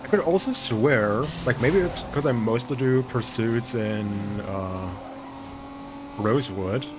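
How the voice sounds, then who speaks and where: A man's voice: medium pitch, slow. A man from Germany with a light German accent, casually chatting with viewers while streaming a racing game. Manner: relaxed, chatty, greets and thanks viewers. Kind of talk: casual gaming commentary to chat. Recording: game car noise loud behind voice. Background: racing game engine and traffic sounds.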